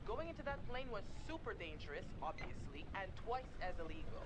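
A woman's voice: medium pitch, sing-song, brisk, very noisy, close to an everyday voice. An actress playing a stern, superstitious young female boat captain in a video game. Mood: wry warning. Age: young adult. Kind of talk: naturalistic video game acting.

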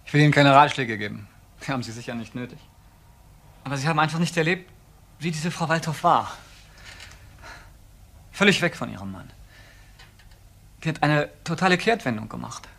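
A man's voice: a little high, animated, moderate pace, creaky, pauses often, fairly bright tone, full character voice. A man playing an obsessive, insistent accuser in a German crime radio drama, brooding over every point. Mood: urgent, brooding.

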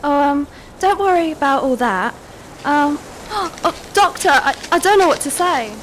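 Actress as fretful, fussing female carer for radio drama; high voice, breathless and anxious, faint ambient noise. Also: lively intonation, a little slow, fairly bright tone, rough recording. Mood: flustered.

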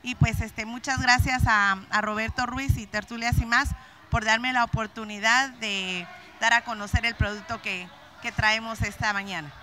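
A woman's voice: fairly high pitch, fairly even intonation, normal speed, noisy background. A middle-aged woman from Guatemala, a craft vendor, speaks nervously with many fillers and repeats into a handheld mic at an indoor event. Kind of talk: interview.

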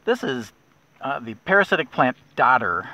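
A middle-aged man guiding a kayak nature trip, explaining plants in a relaxed way with small restarts, recorded outdoors on a lake. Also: medium pitch, normal speed. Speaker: naturalist guide. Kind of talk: outdoor nature walk narration. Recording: dull lo-fi outdoor audio.

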